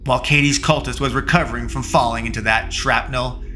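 A man narrating a first-person action story in a measured, dramatic reading. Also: mid-range voice, fairly even intonation, bright, forceful tone, soft background music.

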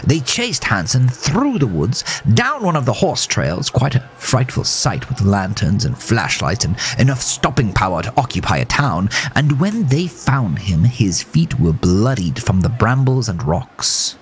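Genteel horse, high swooping pitch, prim formal diction, eccentric, theatrical, horror audiodrama. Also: male voice, sing-song, unhurried, hardly any pauses, a little hiss or hum. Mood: grimly gossipy. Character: genteel, eccentric tea-sipping horse in a tuxedo. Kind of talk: horror audiodrama character acting.

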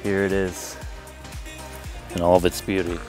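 A man's voice: deep voice, flat delivery, a little slow, darkish tone. A man in his thirties filming a casual travel vlog outdoors on a phone held at arm's length, with upbeat, joking tour-guide patter. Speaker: vlogger. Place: outdoors on a forested coast. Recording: music playing behind.

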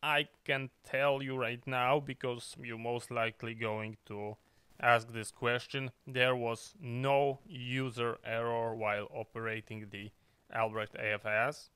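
A man's voice: medium pitch, little pitch movement, measured pace, bright, forceful tone, clear, resonant tone, no echo. A man who is not a native English speaker, explaining in an unscripted, choppy way as a video host. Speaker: video host, radio hobbyist.

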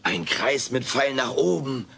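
A man's voice: medium pitch, lively intonation, unhurried, vocal fry, a bit bright, slight background noise. A man playing a wisecracking, meddling furry alien houseguest in a theatrical, mock-grand manner, in a dubbed sitcom audio play. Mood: jovial, showy.

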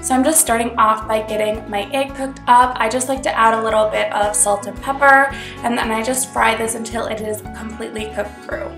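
A woman's voice: a little high, fairly even intonation, a little fast, roomy sound, music in the background. A young woman with a cold hosting her cooking channel, chatty and upbeat as she explains each step from her home kitchen. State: congested from a cold. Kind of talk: casual cooking tutorial voice-over.

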